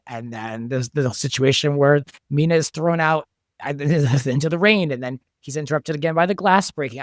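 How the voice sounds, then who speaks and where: A man with a medium-pitched voice talks casually as a creator being interviewed. He is an emphatic storyteller who breaks his thoughts into short phrases. The recording is very dry, with no room sound. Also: dry recording. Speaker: show creator and director, guest.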